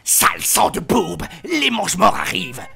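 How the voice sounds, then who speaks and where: Grumpy ancient servant elf, muttering, croaky, nasty, movie fantasy. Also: male voice, sits high, big pitch swings, moderate pace, bright, forceful tone, faint music behind, exaggerated voice. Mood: spiteful. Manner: muttering, contemptuous. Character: bitter muttering old male house elf.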